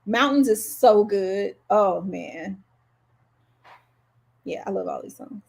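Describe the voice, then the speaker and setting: A woman's voice: mid-range voice, slow pace, gravelly, darkish tone. A woman co-hosting a podcast, talking casually about music in a conversational way. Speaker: podcast co-host.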